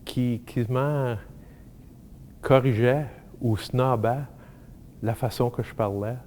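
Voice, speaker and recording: A man with a Franco-Manitoban accent giving a halting personal testimony. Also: mid-range voice, fairly even intonation, darkish tone, slight background noise. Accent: Franco-Manitoban French. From Manitoba, Canada. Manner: halting, searching for words. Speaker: interviewee.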